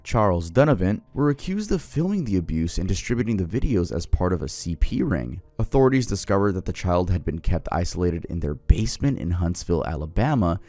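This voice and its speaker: Soothing man, low and animated, true crime narrator, dry close sound. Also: dry recording. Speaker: YouTube host.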